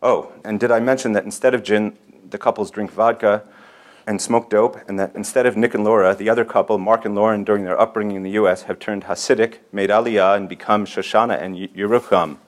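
Male event host, lowish register, formal and bookish, reading a prepared introduction with care. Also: steady tone. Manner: formal, erudite, deliberate phrasing. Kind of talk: scripted literary introduction read aloud.

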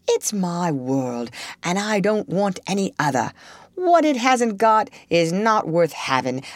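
Storybook water rat , female reader: genial riverside gentleman, talkative and emphatic, very lively pitch movement. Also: dry recording. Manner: emphatic, chatty, opinionated. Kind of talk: storybook character reading.

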